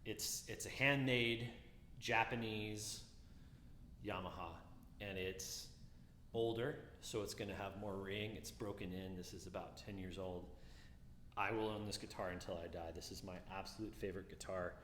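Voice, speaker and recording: A man with a low, deeper voice talks casually through a guitar review, relaxed and musing, often doubling back on his words. He sounds like he is in a roomy space with a long reverb tail and a faint hum of ambience behind him. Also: moderate pace.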